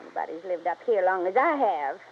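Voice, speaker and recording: A woman's voice: fairly low pitch, animated, fairly quick, lightly acted. An actress playing a scheming, folksy country woman villain in an old-time radio detective drama. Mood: menacing. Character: scheming country woman villain, feigned sweetness turning defiant. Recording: muffled, noisy old radio recording.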